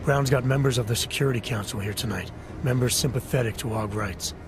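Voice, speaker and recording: A man playing a stoic, gruff, wary security agent with a terse, clipped delivery in a video game. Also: mid-range voice, quick pace, noisy background, lightly acted. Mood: guarded. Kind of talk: video game cutscene acting. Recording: music behind the voice.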